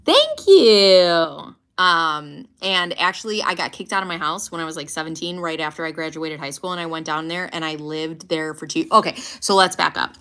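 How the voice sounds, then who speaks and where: A woman's voice: sits low, lively intonation, moderate pace, a bit bright, smooth. A woman in her late thirties hosting a casual livestream, chatting loosely and swearing freely. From United States. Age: 30s. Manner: chatty, swearing, laughing often. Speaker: livestream host. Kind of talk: casual livestream chat reading comments.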